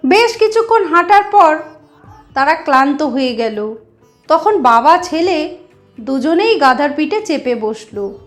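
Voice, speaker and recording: A woman narrating a moral story as a channel host, explaining things directly to her listeners. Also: sits high.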